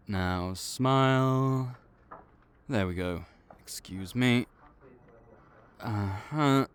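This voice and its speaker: A veteran vampire counselor, played by a man, works through paperwork and checkups in a calm, clinical manner. His voice sits fairly low, and he takes his time over every word. He sounds patient and bureaucratic, like a seasoned official in an audio roleplay. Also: slight background noise. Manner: measured, clinical, patiently reassuring.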